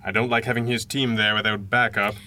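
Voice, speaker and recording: A man playing a stern crime-fighting hero who gives brisk, authoritative orders in a radio drama. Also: lowish voice, little pitch movement, sharp tone. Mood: commanding. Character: commanding masked male crime-fighting hero, stern and clipped. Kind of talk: 1930s-style radio drama acting.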